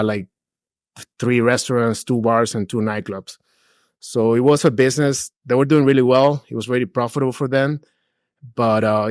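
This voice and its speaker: A man's voice: mid-range voice, dry recording, clean studio sound. A man in his forties, raised in Peru, talking casually as a podcast guest, often saying "dude" and "bro". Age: 40s. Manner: relaxed and chatty, drops "dude" and "bro", many restarts. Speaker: guest, real estate investor. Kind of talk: casual interview talk.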